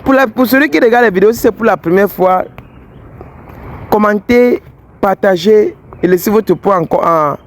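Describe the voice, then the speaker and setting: Blunt high-voiced man hosting a street vlog. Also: moderate pace. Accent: English-speaking Cameroonian. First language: English. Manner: blunt, scolding, teasing. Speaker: fidelity tester vlogger host.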